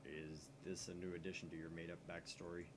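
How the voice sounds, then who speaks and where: A man voicing a laid-back young male hacker friend who talks in an offhand, low mumble. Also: flat delivery, a little fast, background noise, close to an everyday voice. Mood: dismissive.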